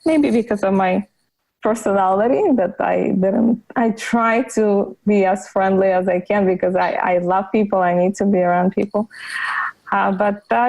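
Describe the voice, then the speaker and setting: A woman's voice: medium pitch, vocal fry, darkish tone, rough recording. A middle-aged woman from Ukraine with a Russian accent, a podcast guest on a video call who talks hesitantly with many fillers and restarts. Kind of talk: interview over video call.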